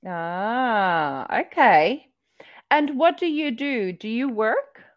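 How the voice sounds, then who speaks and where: A woman leads a relaxed online lesson and speaks slowly and encouragingly. Her voice sits fairly high and moves a lot. Her voice comes through a headset over a muffled video-call line. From South Africa. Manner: patient, encouraging, asks simple questions. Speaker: online English tutor. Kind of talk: casual video-call conversation practice. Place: indoor room.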